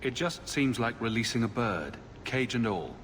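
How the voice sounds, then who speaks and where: A man's voice: mid-range voice, sing-song, moderate pace, fairly bright tone, big-room sound, slight background noise. A man playing a wry, skeptical adventurer for hire in a fantasy video game, terse and challenging.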